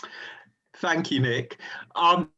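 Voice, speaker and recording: The sound is a little dull and lo-fi, like an online call. A man with a somewhat higher-than-average voice moderates informally, introducing and reading out questions from participants. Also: takes it slowly, bright, forceful tone, slight background noise. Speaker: host relaying audience questions.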